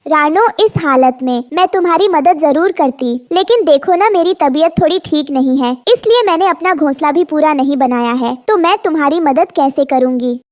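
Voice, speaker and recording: A woman's voice: high-pitched, normal speed, few pauses, darkish tone, no room sound, clean studio sound, close to an everyday voice. A woman playing an unwell, apologetic female sparrow in a cartoon story, explaining with regret. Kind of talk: animated moral story dub.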